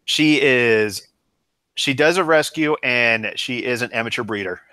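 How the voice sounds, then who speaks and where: A man chats crudely on a livestream, dropping curses freely. His voice is bright and sharp. The sound is dry, with no room echo. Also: medium pitch, a little slow. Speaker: bassist. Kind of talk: casual livestream chat.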